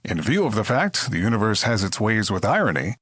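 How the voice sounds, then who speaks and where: A man's voice: lowish voice, big pitch swings, fairly quick, a bit bright, slightly rough, dry recording, studio quality, heavily acted. A man playing a droll, pompous narrator of a comedic sci-fi audio drama. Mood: wry. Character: droll, wordy storybook-style sci-fi narrator. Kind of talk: scripted comic narration.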